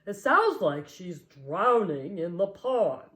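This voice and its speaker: A woman voicing a slow, dopey male ceramic toy bear, drawing out his lines in a storybook reading. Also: fairly low pitch, big pitch swings, a bit bright, roomy sound, exaggerated voice.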